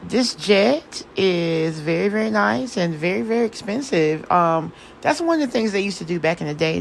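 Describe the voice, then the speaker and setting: A hoarse woman, casually recapping a reality show episode in a chatty, opinionated way. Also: on the low side, a little hiss or hum. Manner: chatty, opinionated, drawling asides. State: hoarse from singing.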